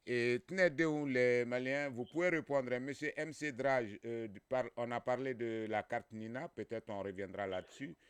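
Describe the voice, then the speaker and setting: A man's voice: mid-range voice, moderate pace, hardly any pauses, clean tone, dry recording, clean studio sound. A man hosting a radio talk show, speaking hesitantly with many fillers and often chiming in to agree.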